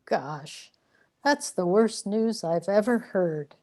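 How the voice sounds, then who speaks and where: A woman playing a weary, complaining wanderer in a radio play, plaintive and deadpan. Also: mid-range voice, slow. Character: weary, complaining wanderer trapped in a too-tight body.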